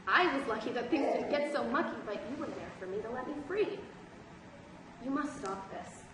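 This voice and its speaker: A woman's voice: a little high, lively intonation, moderate pace, over a noisy crowd, close to an everyday voice. A teenage girl playing an earnest, protective rhyming schoolgirl friend in an amateur stage play. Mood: earnest pleading. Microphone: distant camera microphone. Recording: echoey theatre stage, far off, noisy.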